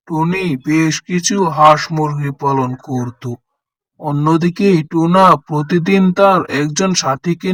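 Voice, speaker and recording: A man narrates a scripted story for children. His voice sits a little high and he takes his time. The recording is very dry, with no room sound. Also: dry recording, low-quality recording.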